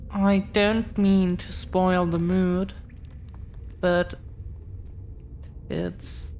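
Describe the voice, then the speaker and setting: A woman playing an affectionate, earnest girlfriend of dragon descent in an intimate roleplay audio, speaking gently with lingering pauses. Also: medium pitch, a little hiss or hum. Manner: gentle, hesitant, lingering pauses between phrases.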